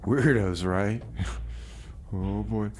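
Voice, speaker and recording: A man's voice: lowish voice, very slow, darkish tone. A man hosting a music radio show, speaking in a hesitant, weary way. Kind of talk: music show back-announcing mixed with comedy skit chat.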